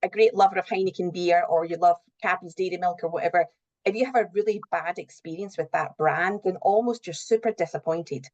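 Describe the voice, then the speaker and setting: A woman's voice: fairly low pitch, brisk, a little mellow, dull audio. A middle-aged woman giving earnest, explanatory answers as a guest in a conversational interview. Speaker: interview guest, recruitment and HR professional.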